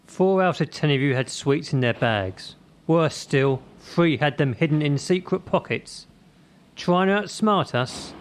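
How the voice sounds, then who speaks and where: A man acting the part of a hard-driving, menacing gymnastics coach in a radio play, firing out emphatic short commands and rhetorical questions. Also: a little high. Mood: stern. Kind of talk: radio drama acting, motivational tirade.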